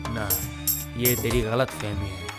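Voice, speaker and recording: A man's voice: lowish voice, steady tone, over loud music. An actor playing a vengeful male ghost in a horror audio drama, menacing and taunting. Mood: mocking, menacing. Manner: menacing, taunting, drawn-out threats.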